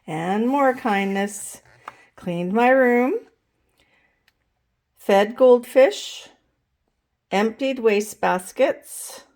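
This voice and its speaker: A woman reading a children's picture book aloud in an expressive, deliberate way, as if to young listeners. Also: on the high side. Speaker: storytime reader.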